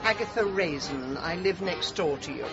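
A woman's voice: fairly low pitch, expressive, normal speed. An actress with an English accent playing a brusque, sardonic middle-aged city woman in a radio drama, dry and haughty in manner. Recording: dull lo-fi radio drama with music.

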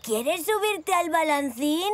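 A woman's voice: very high-pitched, animated, full character voice. A woman voicing a curious, wide-eyed five-year-old boy zebra in a children's cartoon. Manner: wide-eyed, childlike, halting on hard words. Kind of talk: children's animated series dub.

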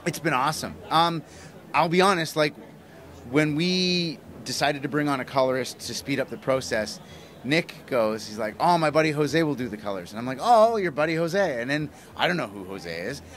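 A man's voice: fairly high pitch, expressive, normal speed, fairly bright tone. A middle-aged man giving a casual, effusive interview as a guest, speaking into a handheld mic. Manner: enthusiastic, effusive. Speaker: interview guest, comic writer and broadcaster. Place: small plywood-walled booth at a convention. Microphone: handheld interview mic held toward him. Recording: clear background room noise. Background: convention ambience.

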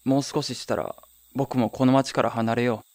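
A man acts as a young man telling his own story in a radio drama. He sounds reflective and wry, at a middling pitch, recorded close and very dry. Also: fairly even intonation, moderate pace, a little mellow, no room sound, close to an everyday voice. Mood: earnest resolve. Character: young man narrating his wistful hometown memories, wry, easily stung. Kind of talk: first-person radio drama narration.

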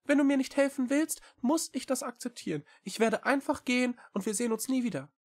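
Lady doing a tiny talking cat guy, super high and fast, polite n eager, storybook style. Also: darkish tone. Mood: resigned, polite. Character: small talking cat-like creature, male, earnest, polite, eager. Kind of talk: audiobook character voice.

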